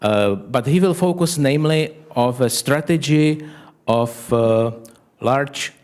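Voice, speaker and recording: A man's voice: mid-range voice, slow, darkish tone. A man moderating a seminar, introducing the next speaker with many hesitations.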